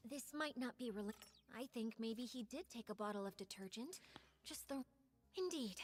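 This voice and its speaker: A woman's voice: fairly high pitch, expressive, normal speed, lightly acted. A woman voicing a polite, composed young female investigator with an analytical manner in a video game. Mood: considering.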